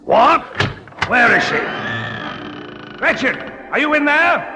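High-pitched blustering burgomaster. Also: male voice, slow, full character voice. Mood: alarmed, indignant. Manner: pompous, excitable, commanding. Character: blustering, indignant Dutch burgomaster, father of a runaway daughter. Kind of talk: 1940s-50s radio operetta acting. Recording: old radio broadcast with music.

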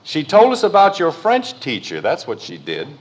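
A man's voice: on the high side, wildly swooping pitch, normal speed. A man playing an exasperated, protective middle-aged father in a 1950s radio comedy, blustery and emphatic. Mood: stern. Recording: noisy, crackly old radio recording.

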